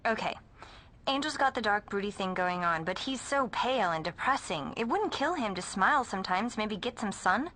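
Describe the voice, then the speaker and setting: A woman's voice: mid-range voice, animated, normal speed, sharp tone. An actress playing a haughty, tactless teenage girl with an American accent in a video game, sarcastic and snippy.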